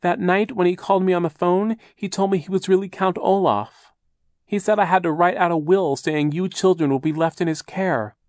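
A woman's voice: fairly even intonation, normal speed, a little mellow, no room sound, clean studio sound, close to an everyday voice. A man voicing a fussy, fearful older woman guardian who frets and corrects grammar. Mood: fretful, cross. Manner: high, fretful, scolding. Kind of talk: audiobook character voice.

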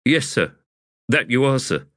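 A man's voice: medium pitch, big pitch swings, a little slow, fairly bright tone, no echo, voice pushed up. Man acting a grizzled, terse corporal, dry recording. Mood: stoic, deferential. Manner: terse, respectful soldier's replies. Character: grizzled, battle-scarred male corporal, stoic and deferential. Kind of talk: audiobook character acting.

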